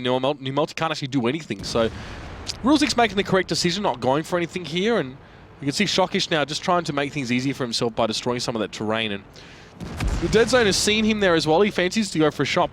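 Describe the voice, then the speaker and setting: A man doing energetic play-by-play esports commentary. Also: on the high side, a little hiss or hum. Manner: energetic, fast, swooping emphasis.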